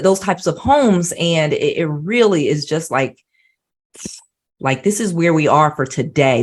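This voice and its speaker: A woman hosting a casual interview, talking conversationally with frequent restarts and check-ins like "you know what I mean". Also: lowish voice. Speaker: host.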